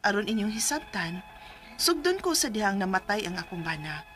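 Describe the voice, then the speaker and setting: A woman's voice: mid-range voice, very animated, normal speed, a bit bright, soft background music. A woman with a Cebuano Filipino accent playing a weary but determined widowed mother in a radio drama, plaintive and emotional. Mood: solemn narrating. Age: 30s.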